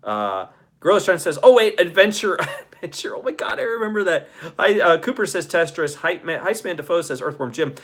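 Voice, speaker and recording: A man in his forties with a slightly high voice hosts a casual livestream. He reads out comments from his audience and talks back to them in enthusiastic, chummy, swear-heavy slang. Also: big pitch swings, a little fast, fairly bright tone. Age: 40s. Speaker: show host.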